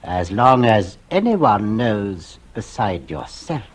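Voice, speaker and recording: An actor playing a greedy charlatan doctor in an old-time radio suspense drama, hesitant and insinuating. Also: male voice, fairly low pitch, very animated, slow, over loud music. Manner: hesitant, insinuating, mock-reluctant.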